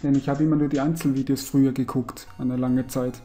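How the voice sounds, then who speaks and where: A man's voice: mid-range voice, a little fast. A man speaking with a mixed Carinthian and Viennese Austrian dialect, casually commenting on a retro game he is playing and chatting with a guest. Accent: Austrian, Carinthian and Viennese dialect mix. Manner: relaxed, grumbles and mutters at the game. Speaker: let's player.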